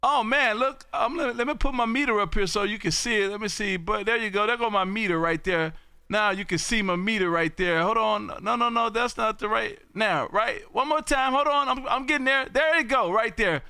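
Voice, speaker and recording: Male ham radio host, extremely bright and forceful, clean clear tone, exuberant folksy chatter. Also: high-pitched, moderate pace, dry recording. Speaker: amateur radio operator hosting a live stream. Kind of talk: casual radio chat and calling. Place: home radio room.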